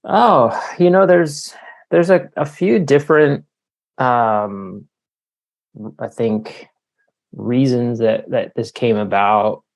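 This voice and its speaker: A man's voice: medium pitch, slow, vocal fry, long pauses. A middle-aged man giving reflective, somewhat hesitant answers as an author and leadership coach being interviewed.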